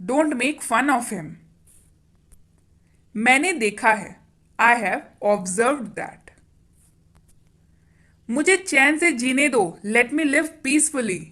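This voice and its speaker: A woman leads an everyday-phrases drill in a slightly high voice. She speaks extremely slowly and deliberately, saying each sentence twice as if for learners to repeat. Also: animated, sharp tone. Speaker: English teacher. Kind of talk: slow sentence-by-sentence language drill.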